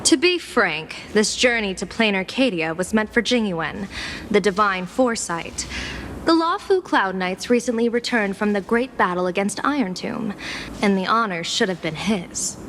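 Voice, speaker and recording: A woman's voice: medium pitch, lively intonation, normal speed, fairly bright tone, a little hiss or hum, lightly acted. A woman voicing a playful, scheming female general who is a seer, teasing and laughing often in a game cutscene.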